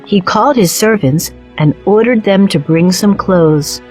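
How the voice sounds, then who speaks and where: A woman storyteller giving a measured, scripted narration of a children's story. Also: mid-range voice. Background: soft music.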